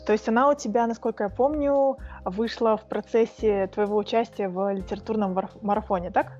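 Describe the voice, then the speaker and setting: A woman's voice: medium pitch, fairly even intonation, fairly quick, darkish tone, dull audio. A woman interviewing a guest in a casual conversation, asking friendly, prompting questions.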